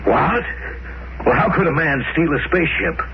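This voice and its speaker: Blunt colonial official, muffled sound. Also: male voice, on the high side, very animated, moderate pace. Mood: brisk, surprised. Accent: American. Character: curt male colonial administrator on Mars. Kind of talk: 1950s radio drama acting. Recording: muffled, noisy old radio recording.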